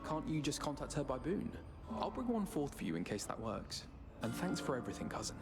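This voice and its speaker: A man's voice: mid-range voice, sing-song, a little fast. A man voicing a laid-back, affectionate young musician god in a video game, casual, candid and friendly. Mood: easygoing, grateful. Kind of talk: scripted video game dialogue. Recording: plucked string music behind.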